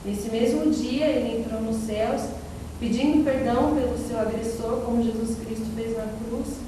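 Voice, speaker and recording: Echoey, high-voiced Brazilian woman recounting. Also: fairly even intonation, normal speed. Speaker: interviewee recounting a saint's life. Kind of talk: informal oral storytelling, testimony. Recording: very echoey noisy hall, distant mic.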